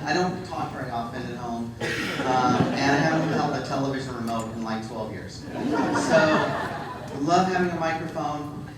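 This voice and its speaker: A middle-aged man giving a humorous conference talk, self-deprecating and full of ums. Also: on the high side. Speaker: conference speaker. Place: large hall with audience. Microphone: handheld microphone. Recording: echoey hall, distant mic, background noise.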